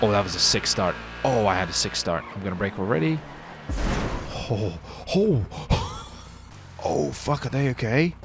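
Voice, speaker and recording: Animated man doing casual gaming commentary. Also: fairly low pitch, a little slow, gravelly, background noise. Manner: slangy, frequent swearing. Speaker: streamer hosting a gaming video. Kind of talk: casual excitable gameplay commentary.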